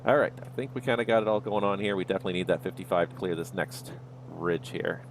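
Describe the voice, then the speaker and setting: A man's voice: deep voice, little pitch movement, fast, a little hiss or hum. A man streaming a flight sim, casually talking himself through each step of the flight as he goes. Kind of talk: livestream commentary, thinking aloud.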